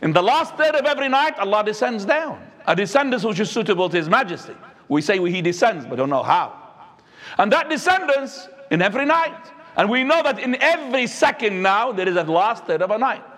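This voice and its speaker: A man, preaching a sermon as an imam, swinging between high and low. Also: sing-song, brisk, fairly bright tone. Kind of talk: Friday sermon. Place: mosque. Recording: echoey hall.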